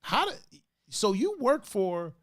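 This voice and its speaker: A man's voice: sits high, big pitch swings, slow pace, no room sound. A man from Brazil hosting a casual chat over a video call, loose and joking with profane banter. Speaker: podcast host.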